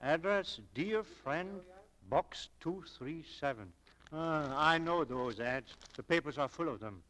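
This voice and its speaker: A wry, nosy shop clerk in an old radio drama. His voice sits fairly high and rises and falls in a very lively, teasing way. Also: slow pace. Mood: wry, curious. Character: curious, wry male shop clerk and confidant. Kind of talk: 1940s radio acting.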